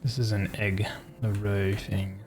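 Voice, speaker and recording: A man, streaming a game and thinking aloud in a relaxed, calm way. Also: lowish voice, unhurried, soft background music. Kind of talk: casual gameplay commentary.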